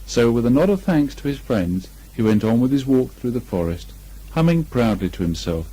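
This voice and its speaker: A man with a slightly husky voice reading a children's storybook aloud with expression. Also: mid-range voice, very animated, moderate pace, dark tone. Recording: muffled amateur 1980 home recording.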